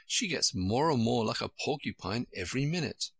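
A man's voice: medium pitch, animated, normal speed, gravelly, breathy edge, no room sound. A man voicing a polite, earnest and eager little girl from a storybook, easily puzzled. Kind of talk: storybook character voice.

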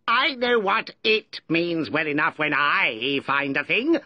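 A man's voice: a little high, animated, slow, no room sound, muffled recording, voice pushed up. A man voicing a blunt, literal-minded duck with an argumentative manner.

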